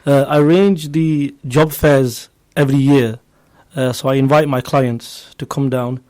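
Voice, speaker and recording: A man's voice: mid-range voice, steady tone, unhurried, a little mellow. A man from Bradford with a West Yorkshire accent, explaining eagerly as a guest in an informal radio interview. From Bradford, England. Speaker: interview guest, recruitment agency founder.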